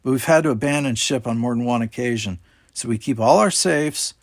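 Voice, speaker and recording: A man's voice: medium pitch, a little fast, lightly acted. A man voicing a cocky, cheerful teenage boy captain in a sci-fi storybook, talking in a breezy, glib way.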